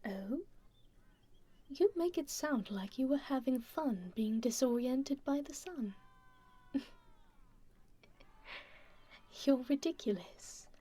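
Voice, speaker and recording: A woman playing a kind, teasing stranger in an intimate ASMR roleplay, speaking gently and unhurriedly in a breathy, whispery voice. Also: sits high, expressive, measured pace, full character voice. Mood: playfully teasing. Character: kind, teasing female stranger caring for a festival-goer.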